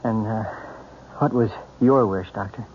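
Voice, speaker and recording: An actor playing a jealous, self-pitying invalid husband, halting and sardonic, in 1970s radio drama style. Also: male voice, on the low side, lightly acted. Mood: bitter, weary. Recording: dull, noisy radio drama recording.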